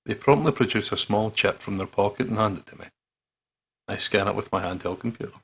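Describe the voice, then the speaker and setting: A man with a husky, gravelly voice playing a visual novel, reading the text aloud and joking in a playful way. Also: on the low side, fairly quick, fairly bright tone. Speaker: let's play host reading the game aloud. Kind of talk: dramatic read-aloud with joking commentary.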